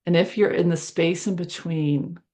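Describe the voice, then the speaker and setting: A woman leading a guided meditation in a soothing, conversational way, speaking in short phrases with pauses between them. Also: fairly low pitch, fairly even intonation, warm tone. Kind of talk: guided meditation, gentle improvised visualization.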